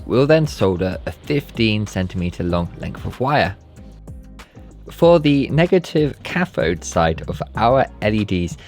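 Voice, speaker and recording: Casting: male tutorial narrator, lowish voice, scripted step-by-step delivery, light background music. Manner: methodical, instructional. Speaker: maker channel presenter.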